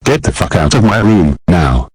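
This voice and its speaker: Man as a spoiled bratty boy, low and husky, swears, sulks defiantly. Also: sing-song, a little slow, no room sound, exaggerated voice. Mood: furious.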